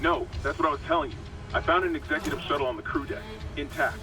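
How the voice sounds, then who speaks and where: Man acting a steady, commanding security officer over music. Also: a little high, fast, recorded from a distance, natural voice. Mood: urgent, decisive. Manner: authoritative, plain-spoken, profane. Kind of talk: video game cutscene acting.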